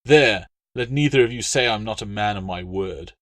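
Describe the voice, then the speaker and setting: A man's voice: lowish voice, moderate pace, fairly bright tone, no room sound, studio quality, close to an everyday voice. A man voicing a haughty, sneering Roman legate with a disdainful, cutting delivery in an audiobook. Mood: dismissive. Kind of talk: audiobook character dialogue.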